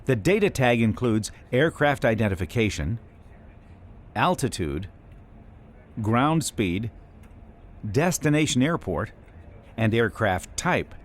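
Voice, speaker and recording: A man reading scripted instructional narration as a training video instructor, in a measured, explanatory way. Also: mid-range voice, sing-song.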